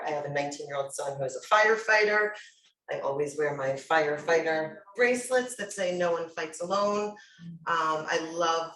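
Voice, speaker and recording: A woman's voice: low voice, fairly bright tone, recorded from a distance, slight background noise. A middle-aged woman from New York introducing herself as a workshop presenter, telling her story candidly and bluntly. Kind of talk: personal introduction at a conference panel. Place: conference room. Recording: echoey room, voice from afar.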